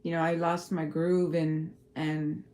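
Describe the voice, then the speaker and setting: A woman's voice: low-pitched, little pitch movement, measured pace, dark tone. A woman from El Paso with a Texan accent, chatting casually on a livestream, rambling and swearing freely. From El Paso, Texas. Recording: faint music behind.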